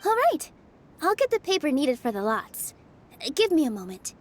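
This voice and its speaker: A woman voicing a gentle, polite and hesitant young woman in a video game. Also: sits high, sing-song, normal speed, slight background noise. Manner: soft-hearted, hesitant, polite.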